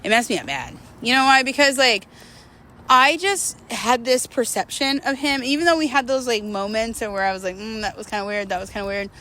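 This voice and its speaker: A woman's voice: fairly high pitch, lively intonation, brisk, sharp tone. A woman talking casually and confessionally to her viewers, in a candid, rambling, emphatic way with slangy wording. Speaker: host of a personal vlog series.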